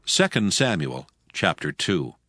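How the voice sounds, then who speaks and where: Low male narrator reading bible story, formal and measured, bit of music behind. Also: sing-song, fairly bright tone. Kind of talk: scripted scripture narration.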